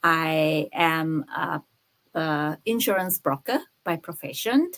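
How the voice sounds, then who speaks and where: An older woman from Brunei, a panelist, introducing herself over a video call with hesitant pauses. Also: deep voice, animated, fairly bright tone. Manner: hesitant, pausing with uh.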